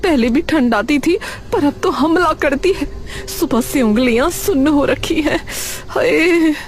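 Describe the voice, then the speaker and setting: A woman's voice: sits high, measured pace, voice pushed up. A woman voicing a grumbling, protective older mother-in-law in a cartoon, complaining in exclamations. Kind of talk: cartoon character acting.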